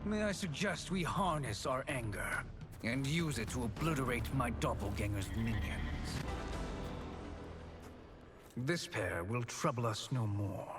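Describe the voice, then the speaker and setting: Male actor as scheming sorcerer, video game drama, smug, wry, formal phrasing, mid-pitched, music underneath. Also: animated, a little slow, close to an everyday voice. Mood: wry indignation. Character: cunning, smug sorcerer, smooth and sardonic schemer.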